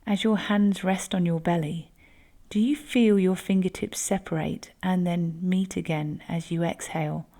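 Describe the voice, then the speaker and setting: A woman instructor leading a guided breathing exercise, speaking gently and unhurriedly. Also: on the low side, steady tone, measured pace.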